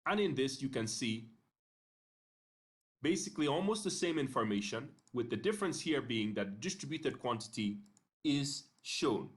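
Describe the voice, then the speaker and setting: A man narrating an instructional screencast in a measured, step-by-step way, pausing briefly between phrases. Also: medium pitch, lively intonation. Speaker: software tutorial narrator.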